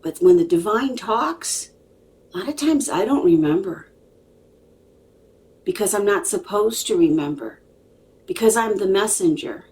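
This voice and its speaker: A woman's voice: medium pitch, animated, a little slow, long pauses, a little mellow, slight background noise. A woman telling a personal story as a psychic medium, dramatically and emphatically, repeating phrases for weight.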